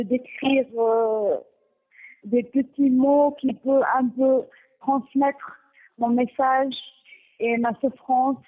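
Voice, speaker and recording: A woman from Gaza, speaking haltingly with many restarts, giving a personal testimony and partly reading her own text. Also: high voice. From Gaza, Palestine. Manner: halting, with frequent restarts and pauses. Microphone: telephone. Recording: tinny phone line.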